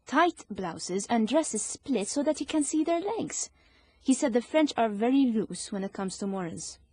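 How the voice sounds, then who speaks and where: A woman's voice: fairly high pitch, lively intonation, measured pace, warm tone, slightly rough, no room sound. Actress doing a proper british army nurse lady, caring but prim, radio play, dry close sound. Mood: chatty, prim. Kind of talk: period radio drama acting.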